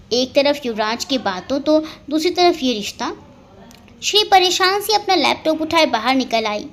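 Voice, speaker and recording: High, very expressive woman storyteller. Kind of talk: scripted dramatic story narration.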